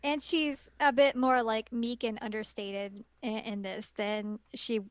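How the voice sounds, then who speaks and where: A woman co-hosting a podcast in casual chat, upbeat and chatty with quick reactions. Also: a little high, steady tone, clean tone, no echo, studio quality. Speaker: podcast co-host.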